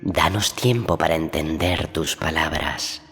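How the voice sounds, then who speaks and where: A man voicing a grave owl-headed wise woman, mother of intuition, in a storybook, speaking in a measured, formal way. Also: very deep voice, vocal fry, fairly bright tone, faint music behind, exaggerated voice. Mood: solemn, questioning.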